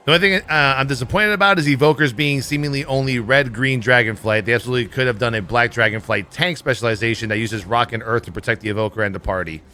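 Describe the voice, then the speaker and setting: A man's voice: medium pitch, fast, few pauses, pushed and bright, a little hiss or hum. A man giving casual, blunt, opinionated livestream commentary on gaming news, swearing freely as he goes. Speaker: livestream host.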